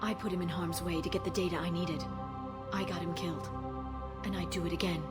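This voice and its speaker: A woman's voice: lowish voice, level tone, fairly quick, natural voice. A woman playing an earnest, driven alien woman, music behind. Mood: grim resolve. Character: determined, guilt-burdened alien woman turned information hunter. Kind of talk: dramatic video game voice acting. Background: game soundtrack music.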